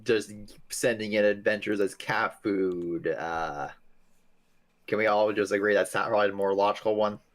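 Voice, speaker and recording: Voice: low voice, steady tone, normal speed. A man improvising character lines and descriptions in a tabletop roleplaying game, in a casual way.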